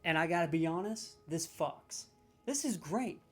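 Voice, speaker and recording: A man's voice: fairly high pitch, measured pace. A man delivers a comedic ranking rant, swearing freely and handing out mock-serious scores. He rambles and stumbles over his words when he gets worked up, with faint music behind him. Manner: stammering restarts when excited. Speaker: comedic judge of game voice lines.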